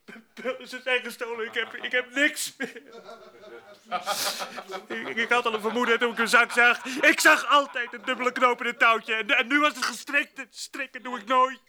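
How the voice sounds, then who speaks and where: A man's voice: fairly even intonation, normal speed, pushed and bright, exaggerated voice. An actor playing a hapless, timid male grape picker in a radio drama, stammering and whining plaintively. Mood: panicked, wailing. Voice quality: very high-pitched. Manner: stammering, whining, repeats words.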